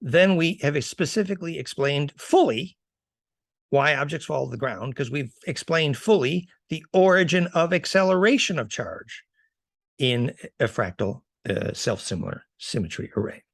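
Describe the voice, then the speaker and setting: A man giving an informal slide lecture in an assertive, emphatic manner, with halting restarts as he presents his theories. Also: fairly high pitch, lively intonation, gravelly, dry recording. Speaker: presenter of fringe physics theories.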